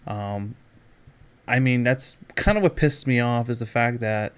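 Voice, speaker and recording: A man's voice: mid-range voice, a little slow, clean tone. Male podcast host, casual solo gaming commentary, blunt and opinionated, sweary, prone to sarcastic asides. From Canada.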